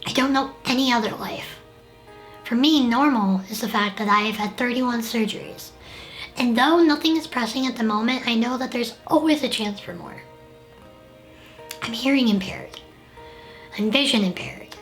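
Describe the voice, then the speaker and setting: A woman's voice: fairly high pitch, normal speed, pauses often, soft background music, low-quality recording. A young woman hosting a personal documentary, narrating and interviewing in an earnest, candid way. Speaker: documentary host with the condition.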